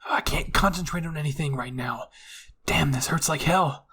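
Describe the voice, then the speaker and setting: A man's voice: on the high side, normal speed, pushed and bright, husky, full character voice. A man playing a hot-headed, frustrated teenage boy soldier in an anime story. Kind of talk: anime fan-fiction roleplay.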